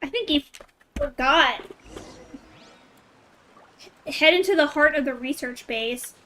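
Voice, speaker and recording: A woman's voice: high-pitched, takes it slowly, sharp tone. A woman streamer commenting casually while playing a game, with chatty, wry asides. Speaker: gaming streamer.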